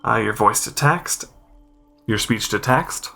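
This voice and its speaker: Man, reviewer, informal walkthrough commentary, creaky bright voice, hesitant explanatory delivery, music behind. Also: medium pitch, takes it slowly. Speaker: host, game accessibility reviewer.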